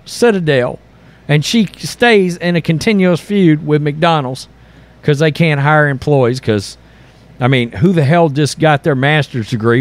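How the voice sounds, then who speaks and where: A man hosting an online commentary channel, reading and reacting to news in a blunt, sarcastic, exasperated way. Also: a little high. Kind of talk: opinionated political commentary mixed with reading news aloud.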